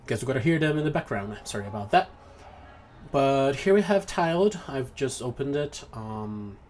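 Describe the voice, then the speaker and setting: A young man with a Brazilian accent gives a screen-recorded software tutorial at home, hesitant and apologetic, with many ums and restarts. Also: mid-range voice, a little hiss or hum. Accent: Brazilian Portuguese. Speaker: tutorial maker. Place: home room with noisy neighbors.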